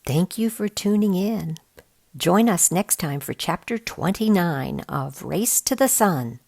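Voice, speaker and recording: A woman reads a children's storybook aloud. Her voice sits on the low side with a noticeable creak, and she narrates expressively as a volunteer reader would. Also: lively intonation, slow pace, darkish tone.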